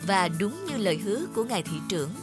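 A woman voicing the narration of an animated fairy tale in a storytelling style. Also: mid-range voice, fast, natural voice. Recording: music bed under the voice.

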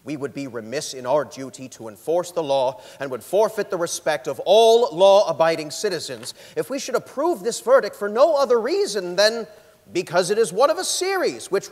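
A young man playing a stern, indignant supreme court justice in a formal, declamatory dramatic reading. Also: fairly high pitch. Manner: solemn, declamatory, emphatic. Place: large theatre stage, indoors. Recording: reverberant theatre hall.

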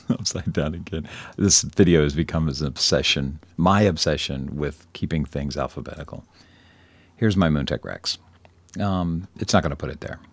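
A man presenting an informal software demonstration, rambling a little, correcting himself and joking dryly. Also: extremely low pitch, vocal fry, no room sound. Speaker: tutorial presenter.

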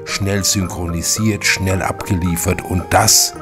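A man with a low voice hosts with informal commentary. He addresses viewers familiarly and gives his opinions chattily, with music clearly behind him. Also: fairly even intonation, measured pace. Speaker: channel host.

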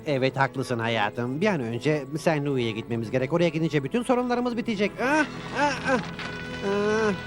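A man's voice: on the high side, lively intonation, normal speed, over music, natural voice. A man playing a weary, meek pioneer husband in a dubbed cartoon, mild and appeasing as he reasons with his wife. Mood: straining. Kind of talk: cartoon dub acting.

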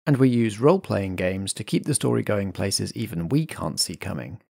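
A man's voice: fairly low pitch, expressive, moderate pace, gravelly, mellow, no room sound, clean studio sound. A man hosting a roleplay podcast, introducing it in a theatrical, scripted way. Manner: theatrical, dramatic. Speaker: host and compere.

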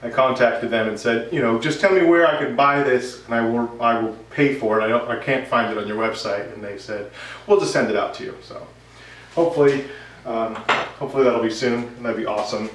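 A man's voice: mid-range voice, a little fast, a bit bright. Man, thirties, casual vlog host, rambling conversational delivery, a bit far from the mic, noticeable room echo. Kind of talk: casual update chat to camera. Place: home workshop, indoors. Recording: roomy, distant, noisy workshop recording.

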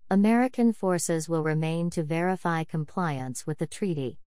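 Low, smooth-voiced woman reading test sentences. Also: moderate pace, warm tone, no room sound, studio quality. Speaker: test prompt reader.

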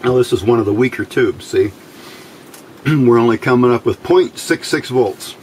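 A man giving an unscripted hands-on electronics demonstration in a measured, explanatory way, pausing between short phrases. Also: mid-range voice, low-quality recording. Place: indoors at a workbench. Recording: steady background room noise.

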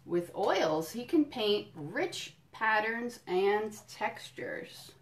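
A woman doing a children's storytime, reading a picture book in deliberate phrases and explaining things simply to young listeners. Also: mid-range voice, very animated, a little hiss or hum. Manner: addresses young listeners directly with questions and encouragement. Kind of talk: children's storytime read-aloud with friendly asides.

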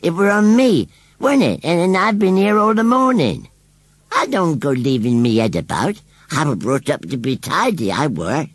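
Cheeky rustic scarecrow, swooping pitch. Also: male voice, big pitch swings, normal speed, breathy edge, dry recording. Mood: cheeky, indignant. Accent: rural English. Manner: rustic, emphatic, dialect-laden speech. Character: scruffy rustic male scarecrow, stubborn, touchy, cheeky. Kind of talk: children's storybook character voice.

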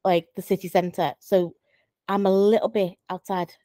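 Young woman, Liverpool accent, mellow tone, dry close sound, chatty podcast co-host. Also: mid-range voice, level tone, normal speed, dry recording. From Merseyside, England. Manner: chatty, self-deprecating storyteller. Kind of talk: casual chat. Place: small wood-panelled room, on a sofa. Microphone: desk mic on boom arm.

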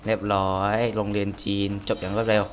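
A man's voice: lowish voice, little pitch movement, brisk, soft background music. A man giving casual, slangy, exclamatory gameplay commentary, often talking to himself as he plays. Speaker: gaming video host playing through a game.

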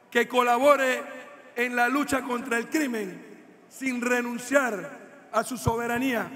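A middle-aged man giving a political speech from a podium in a large hall, slow and emphatic, repeating his key phrases. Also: extremely high pitch, sharp tone. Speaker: political speaker. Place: large indoor stage at a rally. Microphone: podium microphones. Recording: reverberant hall.

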